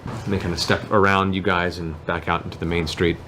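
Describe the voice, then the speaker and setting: Low-voiced man running a tabletop game. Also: flat delivery, a little fast, background noise. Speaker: game master. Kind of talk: improvised tabletop game narration.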